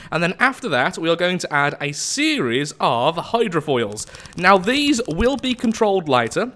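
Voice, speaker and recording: A man hosting casual gameplay commentary while sick with the flu and losing his voice, speaking in a lively, upbeat way. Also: fairly high pitch. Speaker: gaming channel host.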